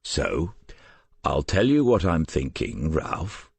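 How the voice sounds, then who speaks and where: A man's voice: a bass voice, huge pitch swings, takes it slowly, gravelly, husky, no room sound, full character voice. A man playing a shabby, canny police detective sergeant in an audiobook, questioning in a frank, coaxing way. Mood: musing, coaxing.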